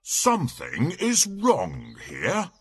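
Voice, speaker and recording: A man voicing a big, stern father bear with a husky voice in a storybook. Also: sits high, wildly swooping pitch, extremely slow, a little hiss or hum, heavily acted. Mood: grumbling.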